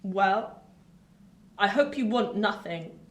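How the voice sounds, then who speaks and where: An actress playing a bitter, haughty older English lady in a period radio drama, speaking slowly and imperiously in a theatrical manner. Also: mid-range voice, expressive. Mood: mocking, bitter. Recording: roomy, distant from the mic.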